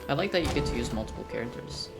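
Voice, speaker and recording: A man's voice: mid-range voice, lively intonation, racing, vocal fry. A young man giving casual, slangy let's-play commentary, grumbling offhandedly as he plays. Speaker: gaming streamer. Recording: game music under the voice. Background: loud game music.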